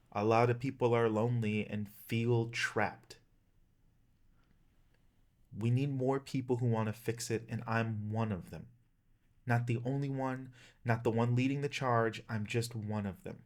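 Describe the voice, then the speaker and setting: A man in his thirties giving an earnest, emphatic personal rallying speech as a vlogger, in plain-spoken language. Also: lowish voice. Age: 30s. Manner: impassioned, emphatic, plain-spoken with occasional swearing.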